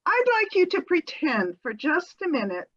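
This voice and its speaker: Readers theater sketch, actress as probing, patient lady therapist; high, varied intonation, slightly muffled lo-fi audio. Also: sing-song, a bit bright. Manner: measured, mediating, questioning. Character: patient, probing female marriage counselor. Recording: dull lo-fi sound.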